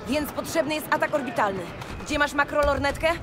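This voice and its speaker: A woman's voice: sits high, a little fast, music in the background, natural voice. An actress playing a determined female military commander in a video game, terse and cool under fire. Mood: commanding. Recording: loud engine and battle noise.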